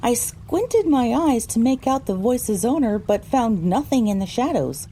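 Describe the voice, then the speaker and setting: A woman's voice: fairly high pitch, sing-song, measured pace, dark tone, slight background noise, low-quality recording. A woman voicing a pony who narrates her own story, reading scripted first-person audio drama narration. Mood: uneasy.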